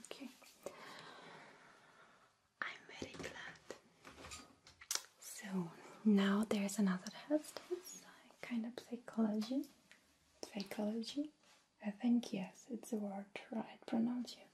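A woman who is not a native English speaker, whispering softly and reassuringly in an ASMR roleplay as a gentle, friendly alien, with halting phrasing. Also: on the high side, a little hiss or hum.

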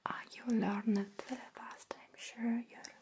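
A woman who is not a native English speaker, whispering softly and reassuringly in an ASMR roleplay as a gentle, friendly alien, with halting phrasing. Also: fairly high pitch, flat delivery.

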